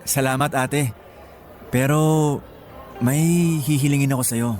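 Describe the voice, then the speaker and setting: A devoted Filipino younger brother played by a man in a radio drama. He sounds earnest and respectful toward his sister, with a medium-pitched voice. Light music runs underneath. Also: steady tone, takes it slowly, darkish tone, lightly acted. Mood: grateful.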